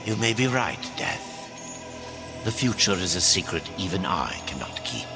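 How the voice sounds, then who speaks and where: A cryptic old sage from a fantasy game, deep-voiced and solemn. He draws his words out slowly with wide swells of pitch, over clear cinematic music. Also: very animated. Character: ancient, knowing, cryptic male guide, grandfatherly elder. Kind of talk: dramatic game cutscene acting.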